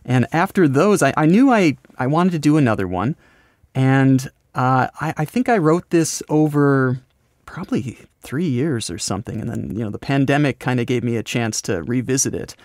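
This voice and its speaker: An American man being interviewed, explaining his creative work in a casual, rambling, halting conversational way. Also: mid-range voice, animated, darkish tone, dry recording, studio quality. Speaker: podcast host, voice actor and scriptwriter being interviewed.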